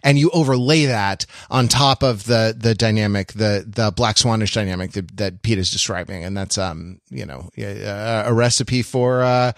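A man hosting a podcast in casual conversation, talking in a halting, digressive way as he thinks aloud. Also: fairly low pitch, no room sound, studio quality. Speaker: podcast host.

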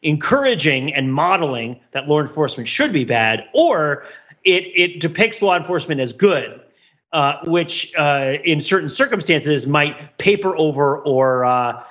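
A man's voice: on the high side, animated, a little slow, fairly bright tone. A man co-hosting a podcast in casual, joking banter, often correcting himself as he goes, on a slightly dull microphone. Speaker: podcast co-host. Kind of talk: casual pop-culture banter and commentary.

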